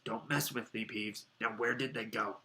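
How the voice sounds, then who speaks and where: A man's voice: lowish voice, fairly even intonation, normal speed, sharp tone. Man doing a school caretaker, irritable, impatient, threatening, demanding, storybook villain. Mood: irritated.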